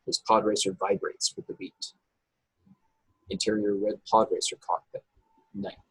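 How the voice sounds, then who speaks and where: A man's voice: fairly low pitch, sing-song, a little slow, creaky, breathy edge, no room sound, low-quality recording. A man narrating stage directions from a script at a table read over a video call. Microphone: video call, close and dry.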